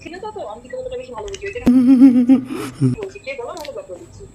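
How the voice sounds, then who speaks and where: A woman's voice: very high voice, very animated, rapid-fire, very noisy. A woman in a casual phone conversation, answering in a curt, challenging way. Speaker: prank call recipient. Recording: phone call with chatter behind.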